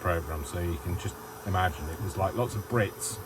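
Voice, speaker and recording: Deep-voiced British man, rambling and giggly. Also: brisk, noisy background. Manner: rambling, self-deprecating, giggly. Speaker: craft vlogger. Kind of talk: chatty crafting tutorial.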